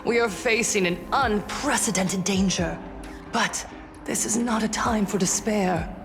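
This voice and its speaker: An actress playing a composed female politician in a video game, addressing the public in a formal, measured, oratorical style. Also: mid-range voice, over music, close to an everyday voice. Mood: solemn, persuasive. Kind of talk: formal televised speech, video game acting.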